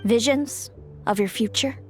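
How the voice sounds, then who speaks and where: Woman playing slow, aloof bird fae woman. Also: fairly high pitch, faint music behind, natural voice. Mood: solemn, explanatory. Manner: guarded, dry, measured. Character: aloof, weary, cryptic female augury bird fae. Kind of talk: anime-style video game voice acting.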